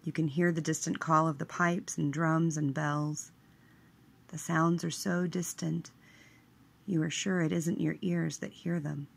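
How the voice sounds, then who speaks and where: A woman with a low voice and a gravelly creak reads a guided meditation. Her delivery is very slow and measured, hushed at the ends of phrases. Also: little pitch movement.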